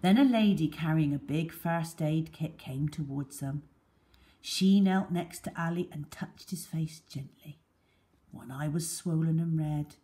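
A woman reading a children's story aloud in a deliberate, dramatic way. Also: low-pitched, mellow.

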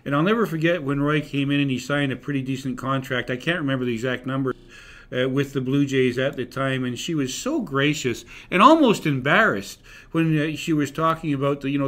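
An older man hosting a sports talk show, reminiscing in a rambling, conversational way on a studio desk microphone. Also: mid-range voice. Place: indoor broadcast studio. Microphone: desk broadcast microphone.

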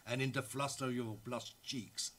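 An actor reading aloud as a lustful, teasing writer of love letters from the early 1900s. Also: male voice, medium pitch, fairly even intonation, normal speed, a bit bright, lightly acted.

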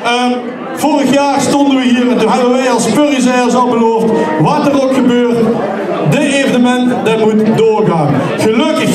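A man on stage in a party hall making a ceremonial speech through a handheld microphone, calling out loudly to the audience. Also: extremely high pitch, recorded from a distance. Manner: calls out loudly to the crowd. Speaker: carnival society speaker at an award. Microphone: handheld microphone over a PA. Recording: echoey hall, miked from afar. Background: lively crowd.

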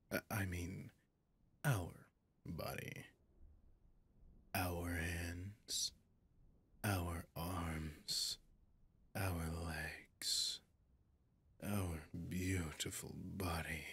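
Man doing a deep, breathy, possessive demon. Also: bright, forceful tone, full character voice. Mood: possessive, triumphant. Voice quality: gravelly, breathy, often whispering. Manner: slow, seductive, menacing, condescending. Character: possessive gloating male demon possessing a human. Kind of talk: ASMR roleplay.

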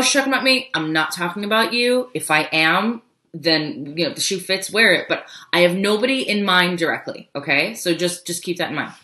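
A young woman speaking low and hoarse, her voice scratchy from a cold. She chats and rambles on a webcam livestream from a home office. The room is echoey. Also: fairly bright tone. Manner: chatty, rambling, quick asides. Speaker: business coach. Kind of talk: casual livestream talk.

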